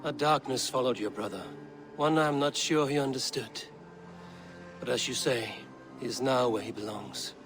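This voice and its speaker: A man voicing a stern, self-assured Viking warrior leader in a video game, speaking in a measured, grave way. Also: mid-range voice, over music, lightly acted.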